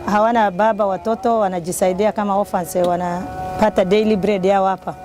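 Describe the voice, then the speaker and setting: A woman's voice: medium pitch, fairly even intonation, brisk, music in the background. A woman with an East African accent giving an unscripted testimonial as a community member. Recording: noisy location with vehicle sounds.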